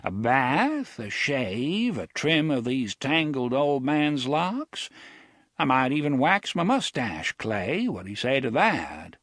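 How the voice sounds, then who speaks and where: A man voicing a quavery-voiced old gunslinger who drawls and mocks in a high, shrill tone. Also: sing-song, takes it slowly, fairly bright tone, dry recording, studio quality, full character voice. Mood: sly. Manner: drawling, sardonic, teasing. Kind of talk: audiobook character voice.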